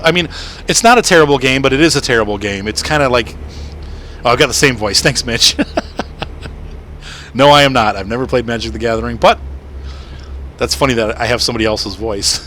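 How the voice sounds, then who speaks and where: A man in his thirties hosting a gaming channel. He rambles through casual live-stream gameplay commentary with lots of fillers, and his voice sounds slightly rough and crackly. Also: medium pitch. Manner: rambling, chatty, with frequent fillers and soft laughs.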